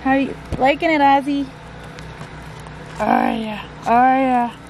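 A woman's voice: sits high, slow, a little mellow. Casual woman vlogger, noisy camera audio. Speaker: vlog host. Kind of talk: casual travel vlog chat. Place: cabin interior and wooded campsite.

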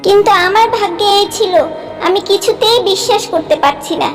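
A young landlord's wife acted by a woman in a cartoon melodrama, with an extremely high voice. She is proud and emotional, speaking dramatically over soft background music. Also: brisk. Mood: betrayed, anguished.

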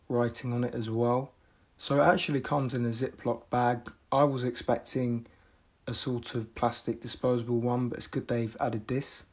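A man giving an unboxing review voice-over in a measured, matter-of-fact way. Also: mid-range voice. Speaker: video reviewer.

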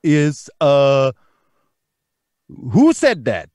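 A man voicing an eccentric, rambling female author in a live comedy stream, with a muddled German-American hybrid accent and a halting, repetitive delivery. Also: a little high, wildly swooping pitch, darkish tone, no room sound, clean studio sound, exaggerated voice. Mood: quizzical, halting. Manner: halting, rambling, repeats himself.

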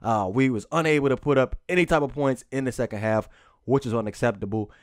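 A man giving an unscripted post-game football recap as a fan, informal and halting, repeating phrases for emphasis. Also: mid-range voice, no room sound, clean studio sound. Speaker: sports commentary vlogger and football fan.

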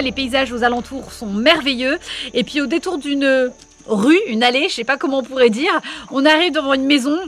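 A woman in her forties chatting enthusiastically as a travel vlogger, recorded outdoors in a rural village on a handheld camera. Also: high voice. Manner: chatty, enthusiastic, restarting phrases. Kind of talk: casual travel vlog narration.

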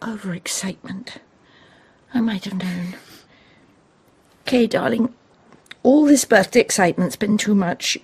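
A woman's voice: medium pitch, unhurried, long pauses, darkish tone, slightly rough. An actress playing a proud, fond, domineering middle-aged mother in a period radio play, with a grand, fussing manner.

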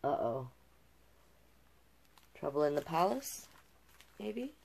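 A middle-aged woman reading a children's picture book aloud with expressive delivery and casual asides. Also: low-pitched, gravelly. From Canada. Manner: unhurried, expressive storybook delivery. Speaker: storytime host and drag king. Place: small cluttered room indoors.

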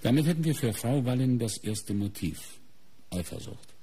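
An actor playing a sober, methodical middle-aged police inspector in a radio crime play, raspy and measured as he reasons aloud. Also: mid-range voice, animated, warm tone. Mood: matter-of-fact. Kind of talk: German radio crime drama acting.

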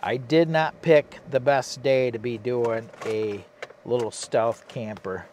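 A man from Michigan vlogging casually from inside a parked car, talking low-key and half to himself. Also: steady tone, takes it slowly. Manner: low-key, quiet, half-muttered asides. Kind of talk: casual vlog commentary. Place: inside a parked car, cold and windy outside. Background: faint wind outside.

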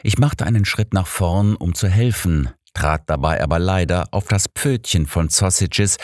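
A man's voice: deep voice, a little mellow, dry recording, clean studio sound. A man reading a scripted first-person story as an audiobook narrator.

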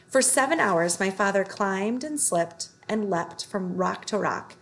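A woman's voice: medium pitch, lively intonation, unhurried, creaky, a little hiss or hum. Woman reading a children's book aloud. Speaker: read-aloud narrator. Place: small living room, indoors.

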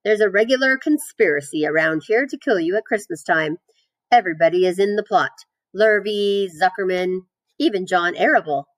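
A woman's voice: medium pitch, normal speed, dry recording, close to an everyday voice, low-quality recording. A woman voicing a world-weary, gossipy old ewe in a storybook, grimly matter-of-fact and knowing.

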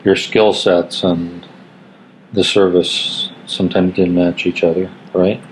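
A man's voice: low voice, little pitch movement, takes it slowly, slight background noise, low-quality recording. An older man hosting a casual living-room podcast discussion, affirming and drawing out his guests. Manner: affirming, encouraging, frequent "right". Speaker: podcast host. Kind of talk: casual interview.

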